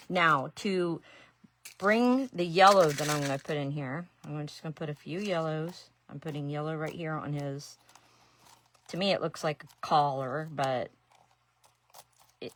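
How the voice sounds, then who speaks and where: A woman's voice: low voice, a little slow, a little hiss or hum. A middle-aged woman from Ohio with an Ohio accent, chatting casually and rambling as she works on a craft during a live stream. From Ohio, USA. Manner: chatty, rambling, self-deprecating asides. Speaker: resin artist and painting teacher.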